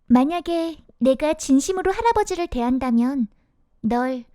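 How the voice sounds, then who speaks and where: A woman playing a gentle, motherly fairy who admonishes softly and in a measured way in a children's storybook. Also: sits high, animated, no room sound, clean studio sound. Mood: kindly admonishing.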